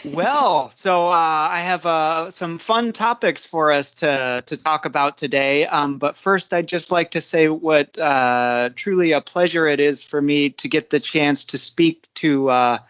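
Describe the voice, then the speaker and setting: Slow, easygoing male teacher. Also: on the high side, a bit bright, clear, resonant tone. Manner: unhurried, explains step by step with fillers. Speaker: guest teacher. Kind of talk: relaxed online English lesson. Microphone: video call.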